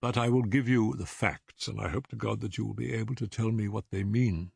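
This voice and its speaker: A man's voice: fairly low pitch, fairly even intonation, a little fast, creaky, a little mellow, husky, no room sound, clean studio sound, exaggerated voice. A man reading an audiobook as a hearty young former soldier, blunt, earnest and agitated. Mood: anxious, pleading. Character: big, hearty young male ex-soldier client, bewildered and earnest. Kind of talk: audiobook character dialogue.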